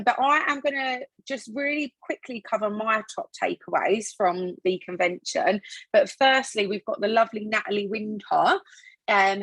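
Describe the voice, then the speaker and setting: Croaky, enthusiastic woman. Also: medium pitch, a bit bright, no echo, rough recording. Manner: enthusiastic, chatty, many fillers. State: croaky voice after a weekend away. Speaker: team leader hosting a sales training call. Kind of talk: casual upbeat team call.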